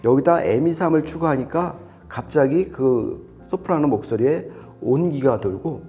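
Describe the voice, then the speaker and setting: A man's voice: fairly high pitch, unhurried, mellow, soft background music. A man reviewing audio gear, explaining it conversationally to a co-presenter.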